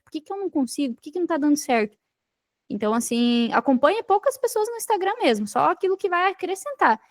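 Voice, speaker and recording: A woman's voice: sits high, no echo, studio quality. A Brazilian woman giving motivational talk as a podcast guest, emphatically repeating her points and speaking straight to the listener. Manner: emphatic, repeats phrases, addresses listener directly. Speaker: podcast guest, digital marketer.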